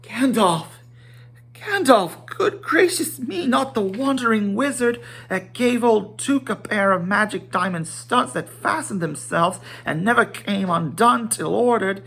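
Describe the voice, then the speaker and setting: Man voicing a fussy, high-pitched, swooping hobbit gentleman. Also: lively intonation, a little slow, vocal fry, breathy edge, full character voice. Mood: astonished, delighted. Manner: polite, exclamatory, rambling. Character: fussy, flustered, excitable little hobbit gentleman. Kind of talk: dramatic storybook reading.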